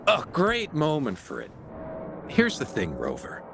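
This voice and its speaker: A man's voice: a little high, huge pitch swings, unhurried, creaky, noisy background, full character voice. An actor voicing a teasing, talkative male pigment merchant in an anime-style game, with a chatty, playful and familiar manner.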